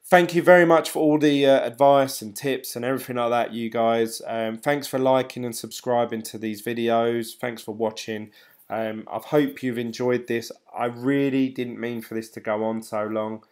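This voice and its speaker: A British man in his thirties, a channel host, chatting casually and hesitantly to viewers on a late-night live stream, tired and a little croaky. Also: medium pitch, fairly even intonation, moderate pace, darkish tone. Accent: British English. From United Kingdom. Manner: rambling, hesitant, many ums and restarts. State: tired, voice getting croaky and dry. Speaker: crypto channel host reading live chat.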